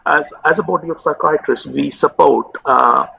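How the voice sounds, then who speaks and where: A man whose first language is Urdu, with a very gravelly, bright-edged voice that is also husky. He speaks haltingly, stumbling over repeated words and fillers. The sound is dry and dull, like webcam audio from a home video call. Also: fairly high pitch, no room sound. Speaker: consultant psychiatrist guest. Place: home room with window.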